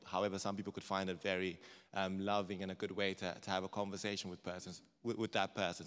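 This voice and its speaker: A man speaks in a fairly low, clear and resonant voice with little rise or fall. He phrases an audience question carefully and hesitantly, his voice carrying in a reverberant hall. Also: fairly bright tone. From Zimbabwe. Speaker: audience member asking a question. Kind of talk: spontaneous question at a church session.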